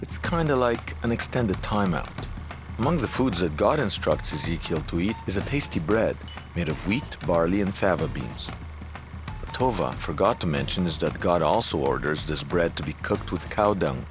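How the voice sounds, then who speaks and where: A man's voice: low voice, expressive, normal speed. A man giving scripted documentary voice-over narration with wry, joking asides. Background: music.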